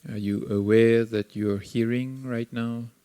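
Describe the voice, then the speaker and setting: A man with a Sri Lankan accent guiding a meditation, speaking calmly and gently with long pauses between phrases. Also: mid-range voice, clear, resonant tone, no echo. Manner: slow, calm, gently instructive with long pauses. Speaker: meditation teacher. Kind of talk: guided meditation.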